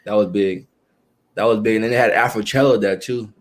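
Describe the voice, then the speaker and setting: A young man with a somewhat low voice, speaking as a guest in a relaxed interview. He talks in halting stretches, full of fillers and restarts, as he works out what he means. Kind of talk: casual conversational interview.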